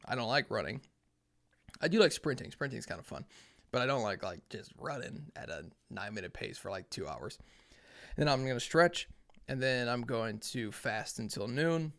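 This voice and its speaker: A man's voice: mid-range voice, animated, normal speed, a bit bright. A young man hosting a podcast, talking alone in a casual, earnest, plainspoken way, thinking aloud. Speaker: podcast host. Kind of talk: casual solo talk.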